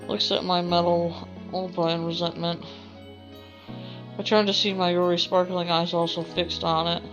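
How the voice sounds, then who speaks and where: A woman's voice: lowish voice, little pitch movement, moderate pace, lo-fi sound. A woman streaming a game, reading a visual novel aloud with informal, rambling asides. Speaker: gaming streamer. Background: game music.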